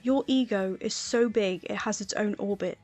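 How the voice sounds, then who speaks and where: A woman's voice: medium pitch, moderate pace, a little mellow, dry recording, natural voice. A wry, self-conscious teenage boy played by a woman narrator in a storybook reading. He is understated and dry, given to teasing, with music playing beneath him.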